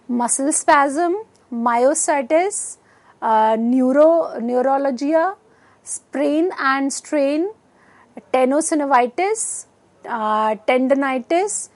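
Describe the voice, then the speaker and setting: High-pitched Indian woman lecturing slowly. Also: dry recording. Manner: halting, reading out in short phrases. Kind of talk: scripted educational lecture. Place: indoor studio. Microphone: lapel mic.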